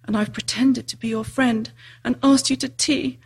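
Storybook male fawn, played by a woman: husky, mournful, tearful, wracked with guilt. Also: a little high, fairly even intonation, normal speed, rough recording. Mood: confessing guilt. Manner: sobbing, groaning, mournful. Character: tearful, guilt-ridden male fawn, self-reproaching and sorrowful. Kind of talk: storybook character reading.

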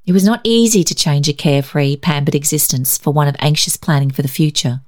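A woman reading a story aloud as scripted narration, in an expressive, measured, dramatic way. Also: low-pitched. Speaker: story reader.

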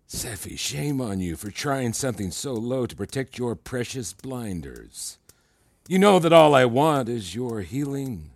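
An actor playing a controlling, self-assured therapist in a fiction podcast, with a patronizing, deliberate, needling manner. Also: male voice, medium pitch, big pitch swings, gravelly, no echo, exaggerated voice. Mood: quietly condescending.

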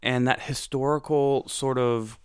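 A man's voice: mid-range voice, fairly even intonation, a little slow, clean tone, no echo, clean studio sound. A young American man hosting a podcast, telling his own story in a reflective, conversational way with frequent fillers and restarts. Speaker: podcast host.

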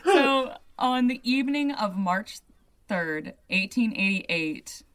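A young adult woman with a deep voice, hosting a podcast and telling a story in a loose, chatty way full of "like" asides. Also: lively intonation, slow, fairly bright tone. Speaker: podcast host. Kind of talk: casual storytelling chat.

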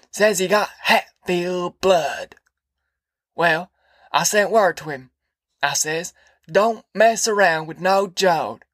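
A woman's voice: on the low side, no room sound, studio quality, voice pushed up. A woman voicing a boastful, cussing old grandfather with a folksy rural drawl.

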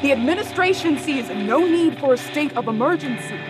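An actress playing a composed government official giving a reassuring press briefing in an audio drama. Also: female voice, high voice, animated, a little fast, music in the background, low-quality recording. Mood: firm, official. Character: composed female government official downplaying a crisis.

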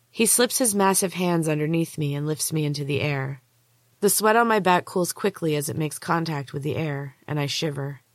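A woman reading a first-person story aloud as author and host, in a measured, hushed, dramatic narrating style. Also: low voice, no echo. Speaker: author and podcast host reading own book.

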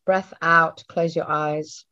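A woman leading a guided meditation in a hushed, soothing manner, leaving long pauses between short phrases. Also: low voice, fairly even intonation. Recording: roomy, phone-like narrowband audio.

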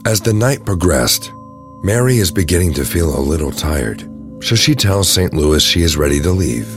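A man's voice: deep voice, lively intonation, normal speed. A man reading scripted narration for an audio drama. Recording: music under the voice. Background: music.

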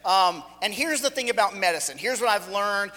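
High, bright-voiced preacher in his forties. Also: lively intonation, moderate pace. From Los Angeles, California. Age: 40s. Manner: loose, joking, conversational with frequent restarts. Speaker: visiting preacher. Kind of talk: sermon. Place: church hall. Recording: echoey hall with long reverb.

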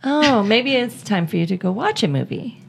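High, gravelly woman, encouraging. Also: very animated, normal speed. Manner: encouraging, lots of backchannel responses. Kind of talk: casual group chat.

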